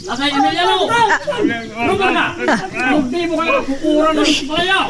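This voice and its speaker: A man's voice: very high-pitched, normal speed, a loud crowd. A man playing a menacing henchman, taunting and accusing, in a melodramatic Filipino radio drama. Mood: demanding. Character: menacing henchman threatening an old farmer.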